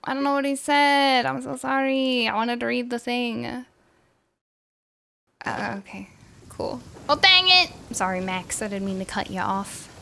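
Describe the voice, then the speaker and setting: A woman streamer giving casual, playful, expressive reactions while playing a game. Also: on the high side, a little slow, gravelly.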